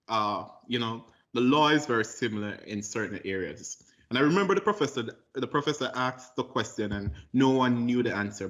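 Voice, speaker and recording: A man's voice: mid-range voice, fairly bright tone, lo-fi sound. A young adult Jamaican man with a Jamaican accent, a lawyer talking about his path in an interview, earnest and a little cheeky. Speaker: lawyer guest. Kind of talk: casual interview talk.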